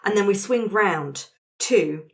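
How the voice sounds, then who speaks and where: A woman's voice: medium pitch, lively intonation, slow. A woman narrating and playing a tabletop roleplaying game, improvising casually and encouraging the others.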